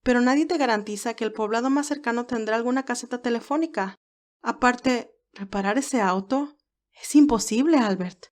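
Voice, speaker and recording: A woman plays a bashful young woman in an audio story. Her voice is high and questioning. She sounds doubtful and a little hesitant. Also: moderate pace, lightly acted.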